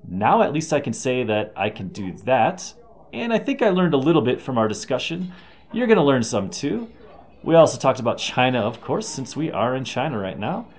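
A man hosting a travel podcast, talking in a relaxed, chatty way and thinking aloud with fillers while interviewing a guest. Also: medium pitch, normal speed. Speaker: podcast host. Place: hostel in rural China.

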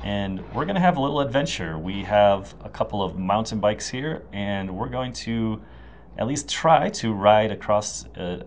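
A man hosting a travel podcast, talking in a relaxed, chatty way and thinking aloud with fillers while interviewing a guest. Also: fairly low pitch, a little hiss or hum. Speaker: podcast host. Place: hostel in rural China.

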